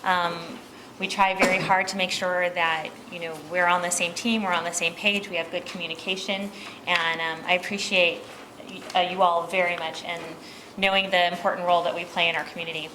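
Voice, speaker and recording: An American woman giving an informal public presentation as a district manager, speaking in a halting, conversational way. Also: lowish voice, flat delivery. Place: meeting room, speaking at a podium. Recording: public meeting recording with background noise.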